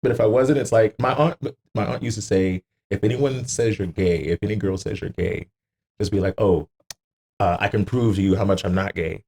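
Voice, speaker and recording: A man chatting casually on a podcast, joking and exclaiming in a raspy voice with plenty of swearing. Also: fairly low pitch, normal speed. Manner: animated, exclaiming, joking, profane.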